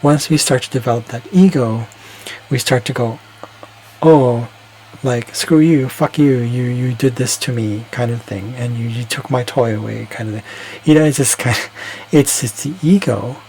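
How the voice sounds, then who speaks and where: A man's voice: mid-range voice, normal speed, a little mellow, a little hiss or hum. A man talking alone in a casual, reflective monologue, rambling and hesitant, often restarting his sentences. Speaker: vlogger.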